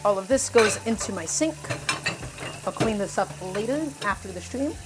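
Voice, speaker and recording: A woman's voice: mid-range voice, expressive, normal speed, heavy background noise. Chatty woman streaming a home cooking demo. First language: Russian. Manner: chatty, answers viewer chat while cooking. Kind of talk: live cooking demonstration. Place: home kitchen.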